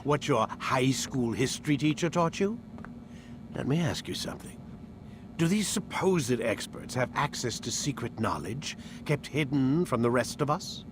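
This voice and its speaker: A man as a smug, animated scientist captor. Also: mid-range voice, moderate pace, background noise, natural voice. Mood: scornful lecturing. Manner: patronizing, sardonic, articulate. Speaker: game voice actor. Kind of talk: dramatic game cutscene dialogue.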